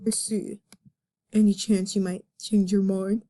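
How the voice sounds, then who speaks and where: A woman's voice: mid-range voice, flat delivery, measured pace, warm tone, voice pushed down, lightly acted. A woman voicing an earnest, wounded male cartoon fox with a solemn, theatrical delivery in a comic reading. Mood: bitter, resigned. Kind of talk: melodramatic comic dramatic reading.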